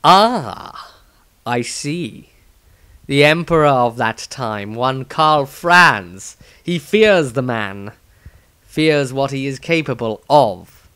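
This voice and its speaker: A man voicing an elder male wizard magister who slowly declaims his visions of the future. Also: mid-range voice, expressive, fairly bright tone, clear, resonant tone. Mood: musing.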